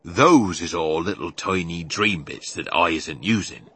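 A man's voice: low-pitched, measured pace, fairly bright tone, breathy edge, heavily acted. A man voicing a big friendly giant from a children's storybook, earnest and proud, with folksy mangled grammar and invented words. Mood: earnest, explaining. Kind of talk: children's storybook character acting.

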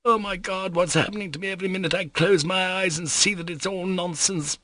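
A man reading an audiobook, voicing a fussy, excitable, melodramatic mother in a fluttery, plaintive, exclamatory way. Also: sits high, brisk, no room sound, studio quality, pitched above the performer's own voice. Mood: overwrought.

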